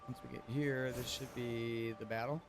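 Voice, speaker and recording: A man's voice: medium pitch, fairly even intonation, normal speed. A man doing casual, slangy live gameplay commentary, thinking aloud as he streams. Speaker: game streamer. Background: video game music.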